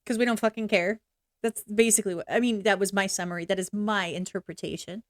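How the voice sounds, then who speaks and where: A woman's voice: mid-range voice, steady tone, quick pace, no echo, clean studio sound. A woman reacting to a video with blunt, profane, unscripted opinions and frequent laughter. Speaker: reaction streamer.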